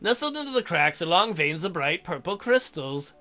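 A man's voice: high voice, big pitch swings, moderate pace, pushed and bright, soft background music, heavily acted. A man voicing an eager young woman diver, the smitten, gushing heroine of a romance visual novel. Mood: awed.

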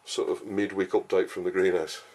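Low, even-voiced man chatting while gardening. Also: brisk. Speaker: gardening vlogger. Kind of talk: casual explanatory chat while gardening. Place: outdoor vegetable garden and greenhouse. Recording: clearly noisy outdoor ambience, uneven distance.

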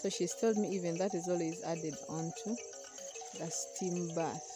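A woman's voice: on the low side, steady tone, measured pace, music in the background. A young woman, chatting casually as she shows plants in a rural field, talking into a handheld phone camera. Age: young adult. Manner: chatty, explaining plants to viewers. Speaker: vlogger. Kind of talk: casual vlog walkthrough. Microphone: phone camera, often held at arm's length.

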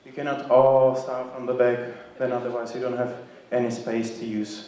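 A man's voice: medium pitch, little pitch movement, normal speed, a little mellow. A man who is a native Czech speaker leads a dance rehearsal with informal, encouraging instructions. His voice rings heavily around a big theatre hall, caught by a distant camera. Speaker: dance teacher. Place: large theatre stage, recorded by a far camera. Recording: very echoey theatre hall from far off.

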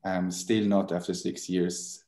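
Man, 30s, low-ish voice, hesitant designer on an echoey video call. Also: level tone, a little slow, dark tone. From Switzerland. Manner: hesitant, many restarts and fillers. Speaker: book designer. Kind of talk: casual chat. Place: room with bookshelves. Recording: roomy video call audio.

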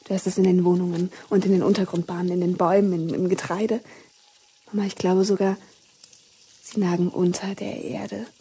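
Anxious daughter, breathy and husky. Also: female voice, on the low side, little pitch movement, moderate pace, dark tone. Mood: haunted dread. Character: anxious, haunted grown daughter. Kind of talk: German radio drama acting.

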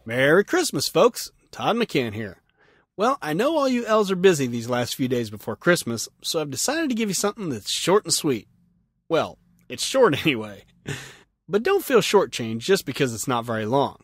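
A man's voice: a little high, big pitch swings, normal speed, slightly rough, dry recording, clean studio sound. A man hosting a casual solo podcast in a jokey, self-deprecating manner. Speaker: podcast host.

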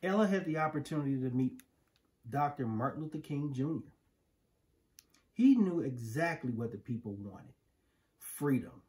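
Middle-aged man, American accent, picture-book read-aloud, lively pitch swings, unhurried pace. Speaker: storybook reader. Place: living room, indoors on a couch. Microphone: camera a few feet away.

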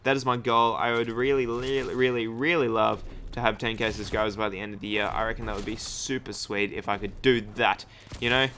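A man's voice: on the low side, steady tone, a little fast, pushed and bright, slight background noise. A young man giving casual, hyped, rambling commentary over his own gameplay. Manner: hyped, rambling, self-deprecating. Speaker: gaming YouTuber. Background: game gunfire.

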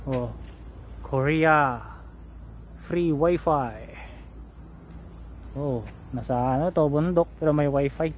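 A man's voice: on the high side, takes it slowly, pauses often, a little hiss or hum. A Filipino man in his thirties with a Filipino accent, giving a casual walking tour vlog as he points things out to viewers. He speaks into a phone held at arm's length on an outdoor seaside park path. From Philippines. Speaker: vlogger. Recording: outdoor ambience with insects.

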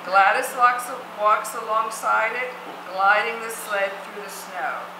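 A woman's voice: mid-range voice, takes it slowly. A woman reading stage directions as the narrator of a scripted reading. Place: theatre stage, seated, distant camera mic. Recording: echoey theatre, noisy background.

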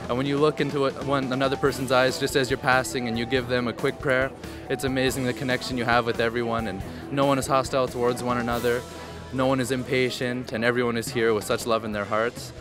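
A man's voice: mid-range voice, level tone, fast talker, few pauses. A man in his twenties with a Canadian accent giving a testimonial into a handheld microphone. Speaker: interviewee, volunteer. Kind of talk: on-camera testimonial. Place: large busy tent camp. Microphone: handheld reporter microphone. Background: clear music.

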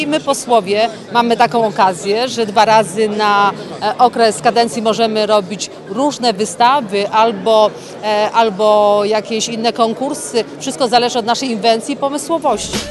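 A woman politician giving interview remarks, hesitant and repeating words. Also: on the high side. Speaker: politician, member of parliament. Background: music.

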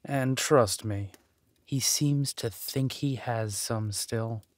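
A man playing a ruthless, haughty duke in a villain audio drama, drawling deliberately and with menace. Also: medium pitch, no room sound, lightly acted. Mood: sly, scheming. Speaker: voice actor.

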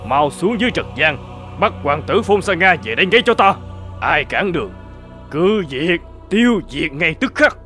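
A man playing a wrathful, commanding old emperor of heaven in an animated fairy tale, stern and imperious. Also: a little high, moderate pace, faint music behind. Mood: furious.

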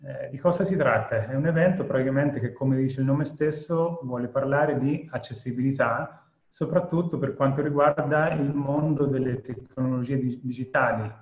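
A man's voice: mid-range voice, moderate pace. A man in his thirties from Italy presenting an online webinar as event co-founder over a video call. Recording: echoey, dull webinar audio.

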